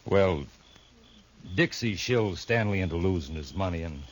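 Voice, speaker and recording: A man's voice: sits low, animated, moderate pace. An actor playing a nervous, defensive saloon henchman in a 1950s radio western. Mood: confessing. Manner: defensive, blustering then confessing. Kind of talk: 1950s radio drama acting. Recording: faint hiss of an old radio recording.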